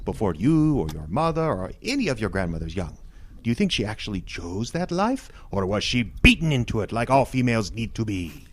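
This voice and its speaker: A man's voice: lowish voice, big pitch swings, fairly quick. Guy doing a earnest bossy merman suitor, old-timey formal talk, voice all over the place, comedy radio play. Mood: preachy, domineering. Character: courtly, pushy merman suitor, earnest and domineering. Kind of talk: comic audio drama acting.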